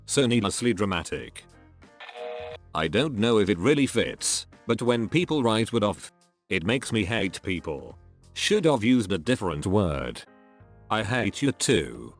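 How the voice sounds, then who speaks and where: Low-voiced man reading posts aloud. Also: sing-song, measured pace, soft background music. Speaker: narrator reading online posts. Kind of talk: scripted narration.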